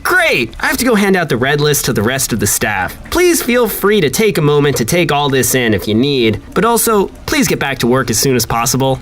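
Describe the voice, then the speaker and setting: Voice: mid-range voice, big pitch swings, a little fast, few pauses, a bit bright, soft background music. An actor playing a breezy, cheerfully dismissive office manager in an audio drama.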